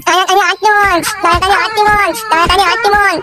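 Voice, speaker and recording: Cartoon brat: little boy, extremely high nasal voice, garbled words, insistent whining. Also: little pitch movement, fast talker, heavy background noise. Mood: agitated. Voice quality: nasal, garbled baby talk. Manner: insistent, repetitive demands. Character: whiny, stubborn little boy throwing tantrums. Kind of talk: comedy cartoon voice.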